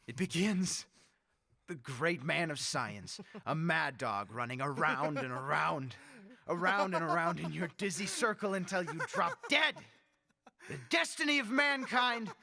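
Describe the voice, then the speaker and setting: Gloating, swooping megalomaniac dictator. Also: male voice, on the high side, lively intonation, vocal fry, bright, forceful tone, heavily acted. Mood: gloating, maniacal. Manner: theatrical, taunting, drawn-out emphasis. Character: megalomaniac male dictator, gloating, mockingly courteous, murderous. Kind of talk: radio drama acting.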